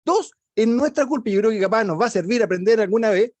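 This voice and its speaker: A man's voice: high voice, animated, fast, a little mellow, no room sound. A Chilean man on a radio panel, emphatic and colloquial, throwing in warning asides.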